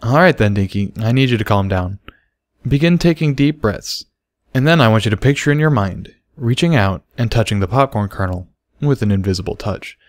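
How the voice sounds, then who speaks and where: A man voicing a patient male unicorn teacher in a storybook, speaking slowly with gentle command. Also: on the low side, expressive, no echo, clean studio sound, close to an everyday voice. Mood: patient, encouraging. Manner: slow, gentle, instructive. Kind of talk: storybook character voice.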